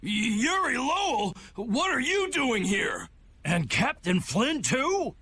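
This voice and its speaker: An actor voicing a pompous, blustering middle-aged knight officer in a video game, with an indignant, theatrical manner. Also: male voice, very high-pitched, big pitch swings, takes it slowly, sharp tone, slight background noise, exaggerated voice. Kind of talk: game voice acting.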